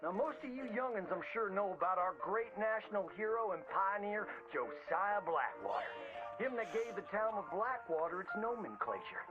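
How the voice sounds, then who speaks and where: Hammy, high-voiced Southern frontier showman. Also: very animated, moderate pace, lo-fi sound. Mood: folksy, boastful. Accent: American Southern, old frontier drawl. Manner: hammy, folksy, exaggerated storyteller delivery. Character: folksy theatrical frontier showman spinning boastful tall tales. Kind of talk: theatrical old-time storytelling narration. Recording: band-limited old-radio sound with prominent music. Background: music.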